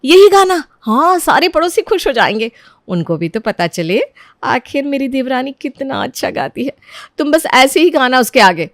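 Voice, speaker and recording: Woman acting a gloating, high-pitched scheming sister-in-law. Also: very animated, normal speed, no echo, studio quality. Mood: gushing false praise. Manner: sugary false praise, gloating asides.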